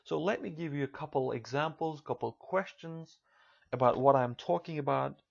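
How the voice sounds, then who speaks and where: A man in his thirties from Turkey with a Turkish accent, delivering a recorded online college lecture in a measured, careful way. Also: a little high, creaky, dull audio. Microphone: computer mic for a narrated slideshow.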